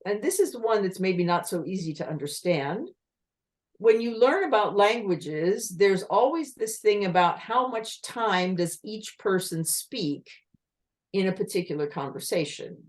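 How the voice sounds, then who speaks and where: A woman presents an online talk on communication, speaking into a video call microphone. Her pitch rises and falls a great deal as she explains. She keeps putting rhetorical questions to her listeners. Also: lively intonation, measured pace. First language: English.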